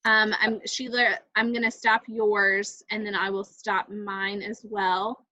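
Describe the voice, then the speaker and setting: A woman's voice: mid-range voice, little pitch movement, takes it slowly, bright, forceful tone, no room sound. A woman moderating a video call, thinking aloud hesitantly as she gives casual technical help. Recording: dull, dry video-call audio.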